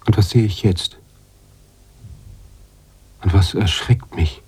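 Slow, deep, brooding writer-narrator. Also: male voice, a little mellow, slightly rough, exaggerated voice. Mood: haunted, awed. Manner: halting, searching, questioning, dramatic shifts into whispering. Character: brooding male writer-narrator, anguished, philosophical, mourning his heroine. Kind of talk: literary radio drama monologue.

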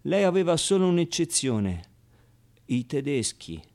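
A man's voice: a little high, very animated, a little slow, a little mellow. A man playing a naive, streetwise ten-year-old orphan boy in a colloquial theatrical radio monologue. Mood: wistful, confiding. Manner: colloquial, ungrammatical, with interjections and rhetorical asides.

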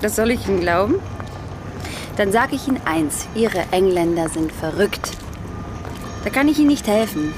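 A woman's voice: medium pitch, animated, moderate pace, pauses often, noisy background, lightly acted. An actress playing an earnest, outspoken schoolgirl from a well-off family in a German radio drama, speaking formally and emphatically. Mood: teasing, indignant. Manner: formal address, probing, emphatic.

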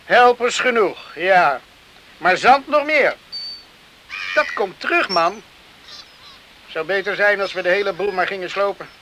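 Bright high-voiced young island man. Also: expressive, a little slow, long pauses, slight background noise, exaggerated voice. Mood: resigned. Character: Arjen, weary young island man, down-to-earth and resigned. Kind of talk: Dutch radio play acting.

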